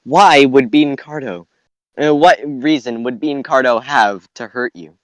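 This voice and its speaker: A man chatting casually and jokingly on a podcast, halting and repeating his phrases. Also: mid-range voice, no echo.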